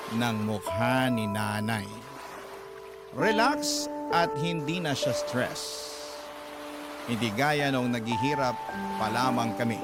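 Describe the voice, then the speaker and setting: A man's voice: mid-range voice, close to an everyday voice. An actor playing a young kept man who confides his story in a dramatic radio drama. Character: confessional young kept man supporting his poor mother. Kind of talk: dramatic first-person radio drama narration and acting. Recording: music bed behind voice.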